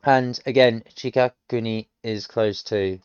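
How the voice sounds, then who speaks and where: A British man in his late thirties talks himself through a language course, explaining every answer piece by piece and stopping often to think. His voice is smooth and sits mid-range. The recording is dry and slightly dull. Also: medium pitch, steady tone, measured pace, no room sound. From United Kingdom. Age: late 30s. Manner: explains each answer step by step, musing, with frequent hesitations. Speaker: self-taught learner streaming. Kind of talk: thinking aloud while working through lessons.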